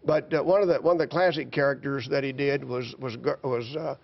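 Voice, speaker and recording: A man's voice: medium pitch, fairly even intonation, fairly quick, gravelly. A man in his seventies, a guest performer, telling stories in a folksy, chuckling way. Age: 70s. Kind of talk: casual chat. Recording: slightly dull TV studio audio.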